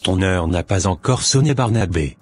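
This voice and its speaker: Cartoon heavenly messenger, voiced by a man: stern, declamatory, very deep and husky, music underneath. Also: animated, normal speed. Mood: solemn. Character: solemn male heavenly messenger, stern preacher of unity. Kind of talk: scripted cartoon preaching. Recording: music behind the voice.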